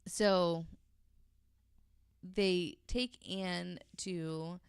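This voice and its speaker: A woman's voice: fairly low pitch, fairly even intonation, drawn-out words, clear, resonant tone, dry recording, clean studio sound. A woman telling a story in casual, chatty conversation, informal and given to jokey asides. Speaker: podcast guest panelist telling a story.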